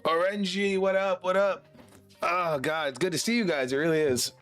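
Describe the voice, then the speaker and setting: A man's voice: sits high, normal speed, fairly bright tone, soft background music. A man streaming casually to his chat while congested and sick, laid-back and swearing now and then.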